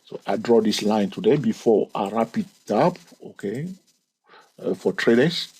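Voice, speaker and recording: A man's voice: lowish voice, expressive, measured pace, darkish tone, slightly rough. A man hosting his channel, walking through a chart lesson, stretching key words and repeating counts. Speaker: trading educator and channel host. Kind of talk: screen-recorded chart lesson.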